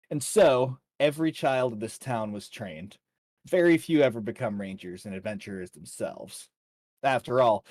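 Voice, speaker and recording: A man's voice: mid-range voice, darkish tone, no echo. A man narrating a tabletop fantasy game as dungeon master, informal with his players.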